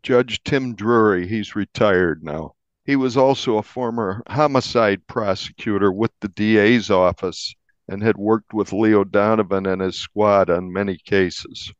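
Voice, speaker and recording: Low-voiced American man, dry dull recording. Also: measured pace, no echo. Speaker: newspaper reporter interviewed. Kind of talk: conversational recounting of a crime story.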